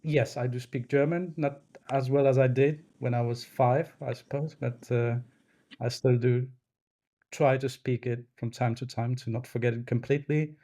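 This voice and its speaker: Mellow-voiced man, native Polish speaker. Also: mid-range voice, steady tone, moderate pace. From Poland. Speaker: podcast guest, snooker referee. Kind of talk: casual interview answers.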